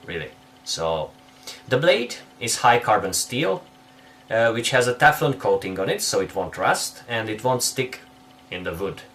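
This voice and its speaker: A young Hungarian-accented man speaks English, his second language, with a lively, bouncing pitch and a bright, forceful tone. He picks his words carefully and restarts now and then. He talks straight to a camera in a small indoor room. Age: young adult. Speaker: guest reviewer. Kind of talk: product review.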